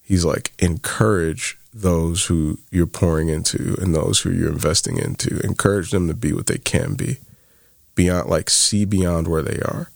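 A man's voice: low voice, fairly even intonation, measured pace, gravelly, no room sound, clean studio sound. A man in his thirties co-hosting a faith-based podcast, telling stories in a casual way with many fillers. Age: 30s. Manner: storytelling, quoting others, many fillers like "like". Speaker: podcast co-host.

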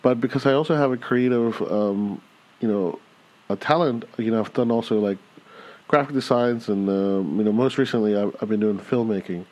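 A low-voiced American man in his thirties chats casually as a podcast co-host. He sounds relaxed and fills his sentences with "uh" and "you know" as he tells his story. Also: moderate pace, low-quality recording. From United States.

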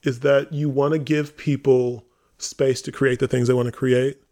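A man talking casually on a podcast as one of the hosts, informal and animated, often acting out quotes. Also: mid-range voice, normal speed. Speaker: theater company member and podcast host.

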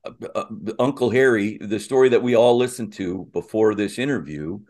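A man co-hosting a casual interview, effusive and halting, often repeating his phrases as he goes. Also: fairly low pitch, a little mellow. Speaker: podcast co-host.

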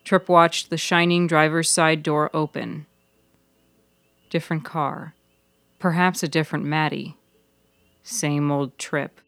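Low-voiced woman narrating fiction. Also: little pitch movement, slow, long pauses, a bit bright, dry recording. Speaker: author and narrator.

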